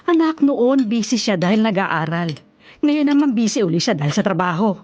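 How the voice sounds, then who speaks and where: A woman's voice: mid-range voice, very animated, moderate pace, a little mellow, lightly acted. An actress playing an older, worried and caring Filipino mother in a radio drama, fussing and nagging at her grown son. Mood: concerned.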